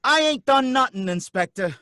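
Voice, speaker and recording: A jittery, slangy cab driver. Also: male voice, extremely high pitch, normal speed, dry recording, studio quality, heavily acted. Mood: defensive. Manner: excitable, pleading, folksy slang. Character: jittery working-class male cab driver. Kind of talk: old-time radio drama.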